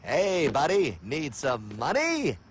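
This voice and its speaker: A man voicing a slick, pushy bank loan salesman in an animated cartoon, with loud music playing. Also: fairly high pitch, slow pace, heavy background noise, exaggerated voice.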